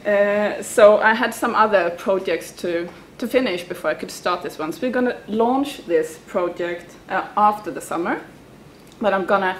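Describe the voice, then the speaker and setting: A woman, a researcher presenting at an academic conference, reading her prepared paper in English with some halting starts. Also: on the low side, slight background noise. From Sweden.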